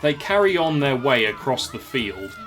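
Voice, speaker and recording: A man reads scripted story narration for an audio drama. His voice sits at a medium pitch, with quiet music running underneath. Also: very animated, normal speed.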